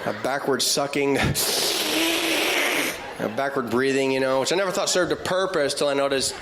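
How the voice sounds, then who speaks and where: An American man doing a stand-up routine in an animated, exasperated manner to a live audience. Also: mid-range voice, moderate pace. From Nebraska, United States. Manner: animated, exasperated observational delivery. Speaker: stand-up comedian. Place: live stage before an audience. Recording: noisy live crowd, echoey hall.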